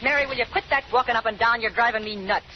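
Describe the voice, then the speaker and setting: A woman's voice: on the high side, fast, lightly acted. An actress playing a hard-bitten, bossy woman convict in a 1930s radio crime drama, curt and slangy. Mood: irritable, tough. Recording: noisy, muffled old radio recording.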